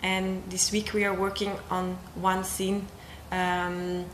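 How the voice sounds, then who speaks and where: A young woman from Belgium talks casually about her circus work, pausing often on ums and restarting her sentences. Her voice sits at a middling pitch. The room is echoey, with clear background noise behind her. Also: completely flat delivery. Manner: hesitant, many ums and restarts. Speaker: circus artist. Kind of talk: casual interview talk.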